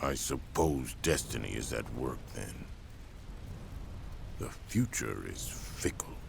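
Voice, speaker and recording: An actor playing a sinister assassin leader in a video game, menacing and mock-courteous. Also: male voice, extremely low pitch, expressive, takes it slowly, creaky, background noise, full character voice. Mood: cold menace. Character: sinister, calm male villain and assassin leader. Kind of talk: theatrical video game cutscene acting.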